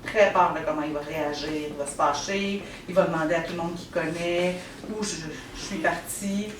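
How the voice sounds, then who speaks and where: A woman plays a frightened woman who has fled a controlling partner. She speaks in a low voice, halting and fearful. The sound is distant and roomy, with clear noise behind. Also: steady tone, close to an everyday voice. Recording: roomy, distant mic, noisy.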